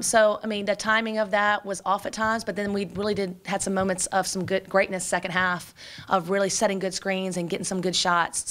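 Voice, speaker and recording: A woman in her forties, a basketball coach, talking quickly and energetically at a postgame press conference, with many fillers and restarts, into a table microphone. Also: on the low side, a bit bright. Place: press room before a sponsor backdrop. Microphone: table microphone in front.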